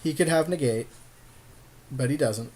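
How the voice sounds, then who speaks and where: A man with a mid-pitched voice gives casual commentary as he co-hosts a card game. He talks in loose slang, with a jokey, agreeable way about him. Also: measured pace, darkish tone.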